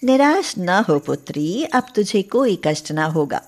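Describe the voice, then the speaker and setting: A woman playing a protective, commanding mother goddess in an animated devotional tale, solemn and maternal in manner. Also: mid-range voice, measured pace. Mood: tender, protective. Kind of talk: animated devotional story acting.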